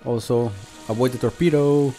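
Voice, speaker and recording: A man giving casual, unscripted gameplay commentary as a channel host, talking in a halting, conversational way. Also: mid-range voice, fairly even intonation, mellow, soft background music. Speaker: video game review channel host.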